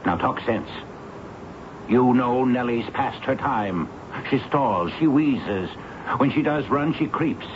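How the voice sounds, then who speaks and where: An actor in a radio drama playing a hard-hearted building boss, curt, impatient and sarcastic. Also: male voice, mid-range voice, expressive, slow. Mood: scornful. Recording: noisy muffled old radio recording.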